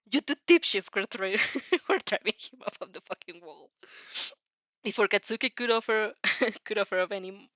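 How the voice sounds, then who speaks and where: A woman's voice: mid-range voice, sharp tone, dry recording. A woman informally narrating fan fiction, giggly, often stumbling and rereading phrases as she goes. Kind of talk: informal story narration with reactions.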